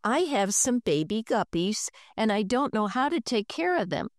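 A woman doing an eager, high young boy. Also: big pitch swings, normal speed, dry recording, clean studio sound. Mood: earnest. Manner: eager, pleading.

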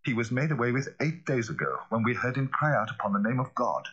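A man voicing a loyal, frightened old butler in an audiobook, with a hoarse, deferential, urgent delivery. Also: medium pitch, sing-song, fast talker, a bit bright, voice pushed up. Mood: agitated, insistent. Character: anxious loyal old butler, fearful for his master. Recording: slightly dull, lo-fi audio.

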